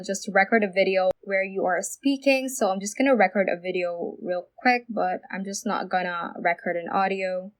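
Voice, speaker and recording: A woman's voice: medium pitch, a bit bright. A woman presenting a step-by-step phone app tutorial as a voice-over. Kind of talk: how-to screen tutorial narration.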